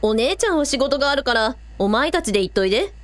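A confident older sister, voiced by a woman for a radio drama. She has a very high voice in a dry recording, with a frank, blunt way of talking that can turn formally polite. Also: animated, fast, no room sound. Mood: breezy. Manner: blunt, casual, formal when addressing royalty.

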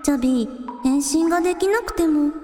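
A woman playing a caring but bossy young village idol in a radio drama, brisk and teasing as she takes charge. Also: high voice, warm tone, lightly acted. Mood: urging. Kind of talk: Japanese radio drama acting. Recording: echoey reverberant sound with music.

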